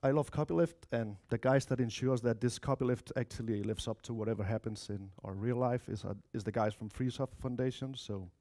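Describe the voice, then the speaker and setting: A man in his thirties with a Danish accent presents an informal technical talk. His voice is dark and relaxed, at an ordinary male pitch. He speaks chattily, often turning to the audience with asides. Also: fairly quick, few pauses. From Denmark or Spain, of mixed Spanish-Danish family. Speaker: conference speaker, software developer. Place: lecture room, indoors.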